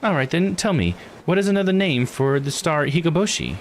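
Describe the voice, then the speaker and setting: A man's voice: on the high side, very animated, fairly quick, a little hiss or hum, pitched above the performer's own voice. A man voicing an eager, proud guide character while reading a visual novel aloud. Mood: bright, informative.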